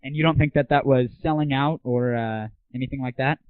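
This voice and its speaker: A man's voice: medium pitch, steady tone, brisk, smooth, dry recording. A young man hosting a casual gaming audio show, chatting and asking questions as an interviewer. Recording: tinny, phone-like, band-limited 1990s internet audio.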